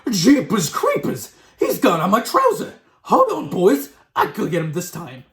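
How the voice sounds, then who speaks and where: Man voicing a frantic male cook, storybook character, high-pitched yelling, comically terrified, echoey room. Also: huge pitch swings, a little slow, full character voice. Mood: alarmed yelling. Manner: yelling and screaming in alarm.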